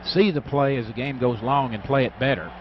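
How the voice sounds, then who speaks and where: A man's voice: medium pitch, brisk, noisy background. A man giving conversational football analysis as a radio color commentator. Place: stadium broadcast booth. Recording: dull radio broadcast sound.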